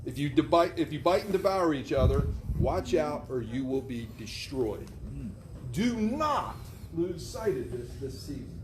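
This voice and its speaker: A man leads a room in prayer, reading scripture and then praying earnestly in a somewhat high voice. A faint layer of noise sits behind him. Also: big pitch swings, measured pace. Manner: earnest, devotional. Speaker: prayer leader.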